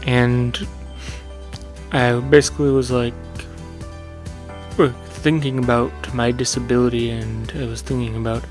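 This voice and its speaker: A man's voice: mid-range voice, flat delivery, measured pace, pauses often, soft background music. A man hosting his own gaming channel, talking in a casual, meandering way and correcting himself as he goes, with a soothing voice. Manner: meandering, self-correcting with fillers. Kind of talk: casual reflective monologue.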